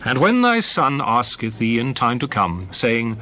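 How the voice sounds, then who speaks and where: A man playing a solemn prophet exhorting his people in a dramatized scripture reading, with measured, declamatory oratory in archaic English. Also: medium pitch, huge pitch swings, bright, forceful tone, slight background noise, full character voice. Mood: solemn, earnest.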